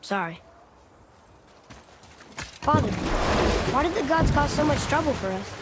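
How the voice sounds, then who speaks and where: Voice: sits high, animated, a little slow, heavy background noise. A young performer playing a curious, earnest boy in a video game, inquisitive and unguarded. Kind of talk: video game cutscene acting.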